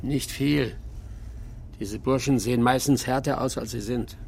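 A man playing an unflappable, laconic character in an audio drama, speaking tersely, drily and calmly. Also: medium pitch, measured pace, gravelly, slight background noise. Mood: calm, dry. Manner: terse, dry, calm. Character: laconic, unflappable man leading a risky errand. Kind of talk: German audio drama dialogue.

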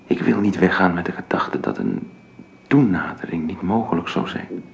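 Restless earnest suitor in a radio play, deep creaky voice, bit of hiss. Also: male voice, very animated, moderate pace, exaggerated voice. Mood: pleading. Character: earnest, restless male suitor pressing for closeness.

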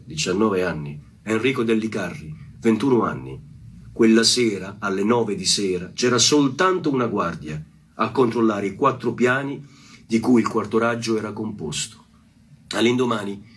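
A man's voice: fairly low pitch, fairly bright tone, a little hiss or hum. A man giving an earnest, solemn spoken dedication as a musician introducing a song. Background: plucked guitar music.

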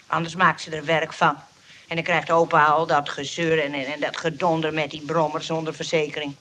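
An actress playing a practical, caring granddaughter in a radio play, with a colloquial way of talking. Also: female voice, sits low, level tone, brisk, sharp tone, a little hiss or hum. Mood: worried.

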